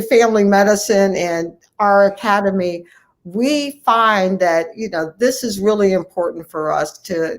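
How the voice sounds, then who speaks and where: A woman family physician giving conversational interview answers in an earnest, emphatic way, repeating phrases for emphasis. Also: fairly low pitch. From United States, Ohio. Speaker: family physician, guest speaker.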